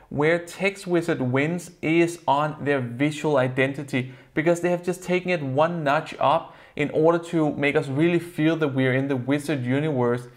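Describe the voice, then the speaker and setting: A young man with a Danish accent reviews software from a desk in a plain room. His voice sits a little high, and his phrasing is earnest and explanatory, with a few non-native turns. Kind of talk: product review to camera.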